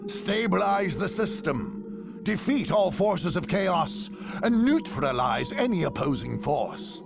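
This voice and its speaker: An actor playing a grave, stately war chronicler, narrating a video game in a measured, formal, theatrical manner. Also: male voice, high-pitched, big pitch swings, vocal fry, music in the background, full character voice. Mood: solemn. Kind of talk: scripted dramatic game narration.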